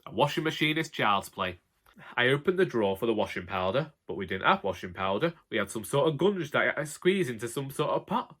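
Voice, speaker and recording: A man's voice: mid-range voice, very animated, fast talker, few pauses, a bit bright. A man with a Northern English accent narrating a comic first-person audiobook as a droll, grumbling, long-suffering husband.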